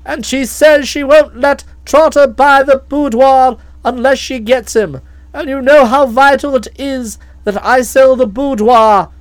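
A woman reader voicing an excitable, forceful older aunt who talks loudly and emphatically. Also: high-pitched, measured pace, no echo, low-quality recording. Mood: agitated. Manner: loud, emphatic, impatient.

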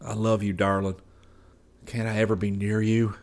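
A weary, sorrowful husband pleads with stubborn sadness, read by a man narrating a story. His low voice carries an earnest, aching insistence that keeps pressing the same hurt. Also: level tone, moderate pace, natural voice. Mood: sad pleading. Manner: sad, pleading, obstinate. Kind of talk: audiobook character voice.

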